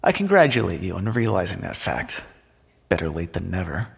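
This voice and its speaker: A man playing a smug, sardonic thief in an audio roleplay, speaking with mocking mock-courtly politeness and drawn-out emphasis in a long echo. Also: deep voice, big pitch swings, creaky, slight background noise, full character voice. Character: smug, sardonic male thief, gloating at a fallen monarch. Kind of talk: scripted audio roleplay, theatrical monologue. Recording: long echoing reverb.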